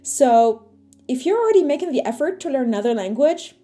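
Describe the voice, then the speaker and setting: A woman's voice: sits high, fairly quick. A young woman whose first language is Arabic, chatting casually to camera as a vlogger, full of fillers and asides. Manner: chatty, animated, lots of fillers. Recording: faint music underneath.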